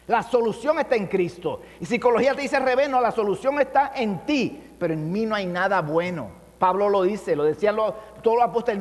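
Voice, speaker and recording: A pastor preaching a sermon with impassioned emphasis, his voice rising and falling to drive points home. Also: high-pitched. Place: church hall. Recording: long church reverb.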